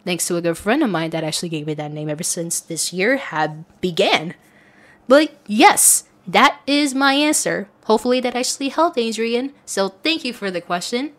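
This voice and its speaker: A woman's voice: fairly high pitch, a bit bright. A woman speaking casually and earnestly in a rambling, chatty way as she reads and answers questions from listeners. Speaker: audio content creator.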